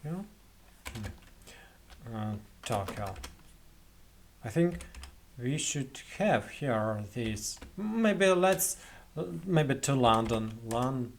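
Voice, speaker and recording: Slow, halting Russian-accented young man. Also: medium pitch, animated, a little hiss or hum. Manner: hesitant, many fillers and restarts. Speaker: tutorial host. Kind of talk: screen-recorded software tutorial. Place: small indoor room at a computer.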